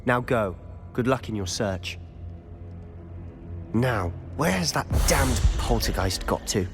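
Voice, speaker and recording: A man's voice: mid-range voice, expressive, slow pace, over music. A man playing a confident, conspiratorial teenage schoolboy in a video game. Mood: sly, confident. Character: cocky, loyal teenage schoolboy, charming rule-breaker. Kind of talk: video game cutscene acting.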